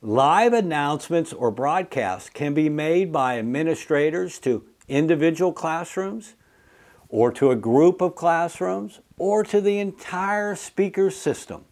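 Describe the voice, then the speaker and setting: A man's voice: a little high, animated, measured pace, darkish tone. A man in his fifties giving a scripted product presentation to camera as a company presenter, recorded indoors in a studio. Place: indoor studio with plain backdrop.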